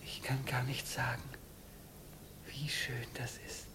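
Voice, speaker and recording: An actor playing an earnest, anxious young student in love in a radio play. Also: male voice, medium pitch, completely flat delivery, takes it slowly, bright, forceful tone, in a whisper. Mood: hushed, tender. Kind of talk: old radio play acting. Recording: slightly noisy old radio recording.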